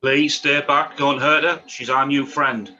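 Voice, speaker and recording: Casting: man playing loyal, earnest friend, sharp bright tone through a dull, slightly noisy mic. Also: steady tone, unhurried. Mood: pleading. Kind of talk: table read of a screenplay. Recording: dull, noisy low-quality remote microphone.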